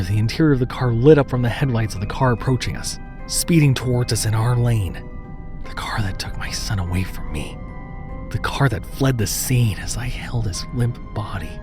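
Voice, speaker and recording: Low-pitched man narrating horror, often breathy. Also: a little mellow. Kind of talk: dramatic first-person scripted narration. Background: music.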